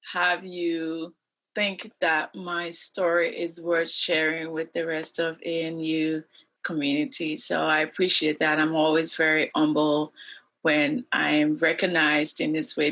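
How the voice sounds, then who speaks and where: A woman's voice: sits low, little pitch movement, a little slow, fairly bright tone. A woman in her forties from Liberia, a guest in an interview, telling her life story in an earnest, reflective way.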